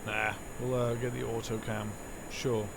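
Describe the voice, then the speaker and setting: A man's voice: mid-range voice, little pitch movement, unhurried, creaky, background noise. A man whose first language is Dutch, giving rambling, self-correcting live commentary while streaming a game from home.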